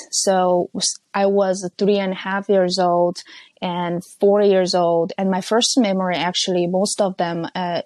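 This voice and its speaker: Guest, woman, 30s, North Korean accent, Korean native speaker; measured, careful delivery over a phone line, low register, dry sound. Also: darkish tone, dry recording. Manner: measured, careful, with frequent pauses and fillers. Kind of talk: personal testimony interview. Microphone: phone call.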